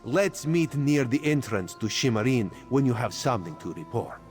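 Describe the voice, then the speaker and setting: Man doing a playful, secretive cat-man spy for a game, lively sing-song delivery, speaks of himself in third person, faint music behind. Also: mid-range voice, normal speed, lightly acted. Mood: sly. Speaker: spymaster quest giver. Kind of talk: video game character dialogue.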